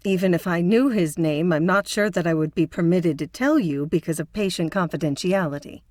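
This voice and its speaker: A woman's voice: fairly low pitch, brisk, darkish tone, no echo, lightly acted. A woman playing an earnest, principled psychotherapist with a prim, concerned manner in an audio drama. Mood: guarded.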